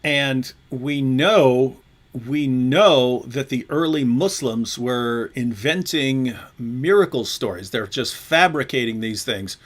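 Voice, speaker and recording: A man's voice: medium pitch, takes it slowly. A man hosting a discussion, speaking animatedly, mimicking opponents and addressing viewers directly. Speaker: show host. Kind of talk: discussion on a live show.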